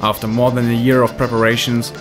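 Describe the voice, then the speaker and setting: A man narrating a documentary film in a measured, scripted way. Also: medium pitch, level tone. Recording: voice-over with loud music behind.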